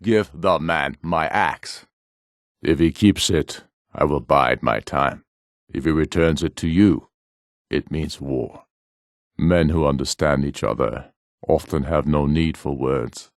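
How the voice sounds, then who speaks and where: An actor playing a proud, commanding middle-aged rebel jarl in a fantasy video game, speaking in solemn, imperious, measured oratory. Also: male voice, very deep voice, no room sound, clean studio sound, exaggerated voice. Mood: grave, resolute.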